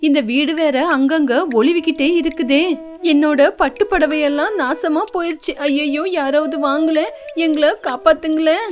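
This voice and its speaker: A woman's voice: high-pitched, fairly even intonation, moderate pace, natural voice. A woman voicing a haughty, melodramatic rich female bird relative in a children's moral story cartoon. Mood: panicked wailing. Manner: melodramatic, exclamatory.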